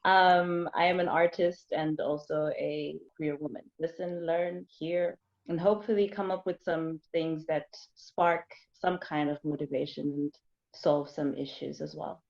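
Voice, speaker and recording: A woman from Zimbabwe living in Germany, an artist speaking as a discussion guest in a relaxed, joking way. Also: deep voice, measured pace, creaky, highs cut off.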